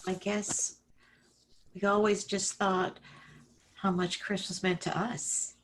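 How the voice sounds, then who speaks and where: Woman playing a slow-spoken teenage daughter, slightly noisy. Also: mid-range voice, little pitch movement, lightly acted. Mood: thoughtful. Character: sweet, thoughtful teenage daughter. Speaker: actor in a radio play. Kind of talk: family radio drama acting.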